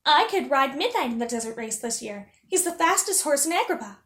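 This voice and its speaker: A woman plays a young princess from a storybook. She is high-voiced, eager and bold, with an earnest way of speaking. The room echoes around her. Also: expressive, brisk, fairly bright tone.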